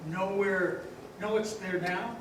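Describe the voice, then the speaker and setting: A man playing a gentle, innocent, very old little angel in a stage radio play, earnest and patient. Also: high voice, steady tone, slow. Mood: dutiful, concerned. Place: live stage in a large echoing hall. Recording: echoey hall from far off, noisy.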